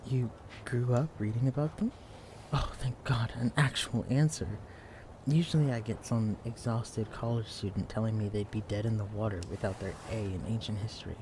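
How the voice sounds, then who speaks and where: A young man playing a shy, clumsy, bookish librarian in an intimate audio roleplay, speaking in a hesitant, stammering, hushed way. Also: fairly low pitch, normal speed, a little hiss or hum, lightly acted. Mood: playful, teasing. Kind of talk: intimate audio roleplay acting addressed to the listener. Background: quiet library ambience.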